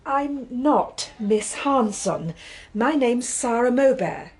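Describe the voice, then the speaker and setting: An actress playing a brisk, wary office secretary in a radio drama, guarded and quick to correct. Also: female voice, on the high side, animated, a little mellow, breathy edge, exaggerated voice. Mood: brisk, firm.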